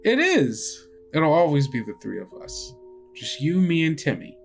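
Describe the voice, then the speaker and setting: A woman voicing a gentle, reassuring young girl, a loyal friend, in a soft tabletop roleplay performance. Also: low-pitched, wildly swooping pitch, a little slow, faint music behind, exaggerated voice. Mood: tender reassurance.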